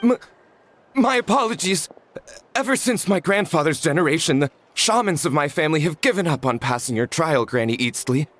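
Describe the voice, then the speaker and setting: A man's voice: fairly high pitch, animated, fairly quick, a bit bright. An actor playing a nervous, humble male villager in a video game, respectful and apologetic. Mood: startled, fearful. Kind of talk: English video game voice acting. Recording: faint background music under the voice.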